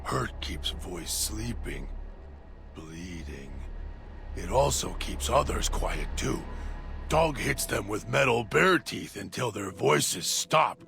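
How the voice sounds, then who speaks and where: A man voicing a deranged, hungry male dog-creature who talks of himself in halting, broken third-person speech. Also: on the low side, lively intonation, pushed and bright, exaggerated voice. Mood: eerie. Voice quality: gravelly. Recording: music bed underneath.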